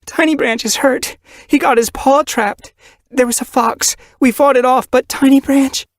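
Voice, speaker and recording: A man's voice: very high-pitched, steady tone, measured pace, no echo, studio quality, voice pushed up. A man voicing a frantic tortoiseshell she-cat who speaks in panicked urgency.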